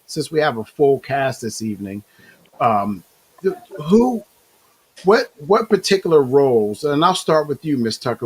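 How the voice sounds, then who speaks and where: Hoarse male talk show host. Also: medium pitch. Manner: halting, filler-heavy, self-correcting. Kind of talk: hosting a live online talk show.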